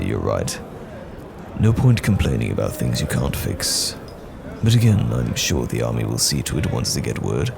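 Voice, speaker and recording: A man playing a courteous, earnest wandering knight in fantasy roleplay audio, speaking in a measured, formal, old-fashioned way with a gravelly voice. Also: background noise, heavily acted. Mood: reassuring, reflective. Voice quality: gravelly, very deep. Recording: music behind the voice.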